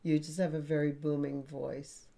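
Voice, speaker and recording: A woman's voice: sits low, level tone, normal speed, creaky, warm tone. A woman co-hosting a relationship talk show who states her feelings and requests plainly and directly. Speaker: co-host. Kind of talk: live relationship talk show, role-play of a conflict repair.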